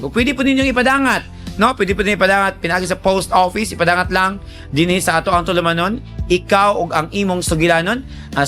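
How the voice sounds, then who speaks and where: A man's voice: sits high, bright, forceful tone. A man hosting a radio advice programme, talking conversationally in an emphatic, repetitive, colloquial way. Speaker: radio host giving advice to listeners.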